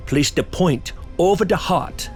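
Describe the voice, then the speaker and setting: An actor playing a grave older professor who hunts vampires, speaking in solemn, deliberate oratory in a horror audio drama. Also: male voice, a little high. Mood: solemn, commanding. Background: music.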